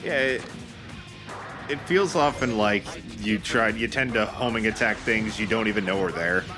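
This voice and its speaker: A man's voice: fairly low pitch, normal speed, over music. A man giving casual, joking commentary as he and his friends go through a video game. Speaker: let's play commentator.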